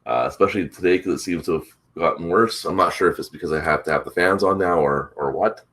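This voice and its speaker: A man hosting a casual morning chat, rambling with many fillers, his voice congested and a bit rough from allergies. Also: low voice, quick pace, fairly bright tone. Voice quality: congested, slightly rough throat. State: stuffed up from allergies. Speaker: vlog host.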